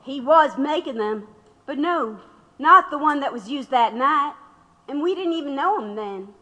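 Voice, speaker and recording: A woman's voice: high-pitched, expressive, measured pace, pushed and bright, exaggerated voice. A woman playing a defiant, wry activist under interrogation in an audio drama, firm and sardonic. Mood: explanatory. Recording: long echoing room reverb.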